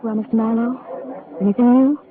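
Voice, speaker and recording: Actress as a heartbroken, pleading woman for 1940s radio drama; high voice, tinny broadcast. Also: fairly even intonation, fairly quick, a crowd in the background, close to an everyday voice. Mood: wistful. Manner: pleading, breathy and emotional. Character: heartbroken woman clinging to her lost love. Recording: tinny old radio broadcast with faint hiss.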